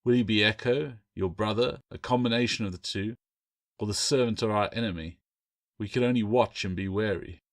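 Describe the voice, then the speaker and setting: A man voicing a grim, wary pirate captain in a fantasy audiobook, measured, ominous and firm. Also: lowish voice, close to an everyday voice. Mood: grave, wary.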